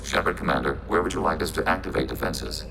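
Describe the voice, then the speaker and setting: A man playing a calm, logical robot collective in a video game, with formal, clipped, emotionless phrasing and a robotic filter. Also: rumbling low, fast talker, noisy background. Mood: measured, logical. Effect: robotic machine filter. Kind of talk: video game character acting. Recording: game music behind.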